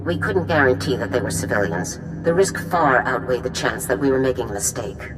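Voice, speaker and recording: A woman's voice: rumbling low, expressive, brisk, music in the background, rough recording. A woman acting a stern middle-aged female general in a video game, clipped, formal and authoritative. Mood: brisk, commanding. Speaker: military commander. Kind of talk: scripted game dialogue.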